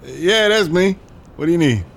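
An actor playing a flustered, gullible cheating husband type in an audio drama, excitable and colloquial. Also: male voice, on the high side, moderate pace, vocal fry, slight background noise. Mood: startled, annoyed.

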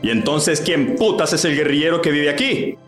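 A man's voice: fairly high pitch, lively intonation, normal speed, music in the background, close to an everyday voice. A man playing a brutal, foul-mouthed, menacing army captain in a radio drama. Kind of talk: amateur radio drama acting. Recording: roomy, echoing voice track.

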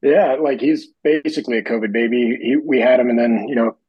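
A middle-aged American man talks casually as a podcast guest. His voice is husky, at an average pitch, and recorded dry and close. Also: fairly quick, no room sound, lo-fi sound. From Michigan, United States. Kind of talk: casual chat.

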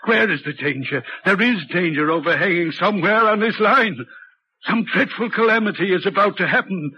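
A muffled old radio drama recording with faint noise carries a male actor as a tormented railway signalman. His high voice is anguished and agitated, hammering on repeated phrases like a man crushed by guilt and haunted by a spectre. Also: moderate pace, natural voice. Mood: anxious, desperate. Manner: anguished, agitated, emphatic repetitions. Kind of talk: 1940s radio drama acting. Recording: muffled, noisy vintage 1940s radio recording.

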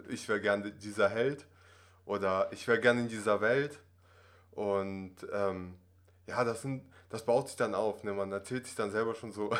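A man in his twenties who grew up in Russia, talking casually as a podcast guest, rambling with many fillers and tag questions. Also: on the low side. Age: 20s. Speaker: acting student guest.

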